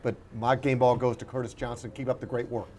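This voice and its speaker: A man's voice: medium pitch, level tone, fast, warm tone, slight background noise. A man talking sports casually as a regular panelist on a show recorded live at a restaurant.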